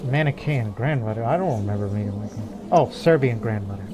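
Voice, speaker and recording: A man's voice: mid-range voice, animated, a little fast, over music. A man playing a strategy game, musing aloud and speaking as his ruler, with laughing asides. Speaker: game let's player. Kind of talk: casual gameplay commentary.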